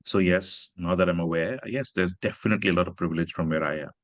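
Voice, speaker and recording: A man's voice: deep voice, fast, no echo. A man from Pakistan, an author, speaking earnestly and reflectively at a festival chat, often adding "you know" and "right". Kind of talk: conversational literary talk. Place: literary festival stage.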